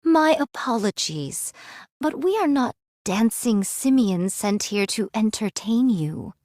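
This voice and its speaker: A proud android swordswoman speaks in a fairly high voice. Her phrasing is old-fashioned, formal and haughty, with a weary edge. It is game drama acting in a very dry recording. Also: big pitch swings, slow, a little mellow, no room sound. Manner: formal, archaic, haughty diction.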